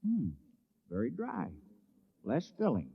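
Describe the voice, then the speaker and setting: Reluctant folksy townsman, animated pitch. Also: slow, vocal fry, breathy edge, no echo, full character voice. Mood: earnest, bemused. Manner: plain-spoken, doubtful, folksy. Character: reluctant, simple small-town man appointed as criminal. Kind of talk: 1950s radio drama acting. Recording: dull, dry vintage radio recording.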